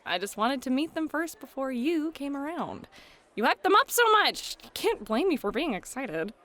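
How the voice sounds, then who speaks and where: A woman's voice: high-pitched, moderate pace, exaggerated voice. A woman playing a playful, teasing fairy hostess and game master in a flirty ASMR roleplay, talking straight to the listener. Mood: playful, eager. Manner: flirty, teasing, excitable, addresses listener directly.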